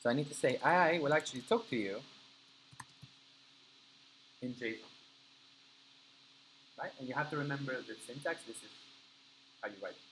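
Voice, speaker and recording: A man's voice: medium pitch, normal speed, long pauses, a bit bright, slight background noise, low-quality recording. A man whose first language is Spanish teaches a live coding class, explaining step by step and checking in with his students. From Argentina. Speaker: coding instructor.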